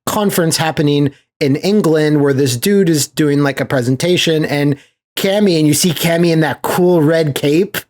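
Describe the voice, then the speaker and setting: A man with a slightly higher-pitched voice chats as a podcast host. He talks casually, rambling along with enthusiasm as he retells things. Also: moderate pace, darkish tone.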